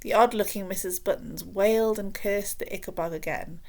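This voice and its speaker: A woman reading a children's book aloud as expressive scripted narration. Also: mid-range voice, moderate pace, gravelly.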